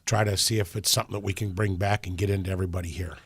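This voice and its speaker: A man with a deep voice, a racetrack promoter talking in casual, plainspoken radio chat with folksy grammar. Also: fairly even intonation, rapid-fire, a bit bright, husky.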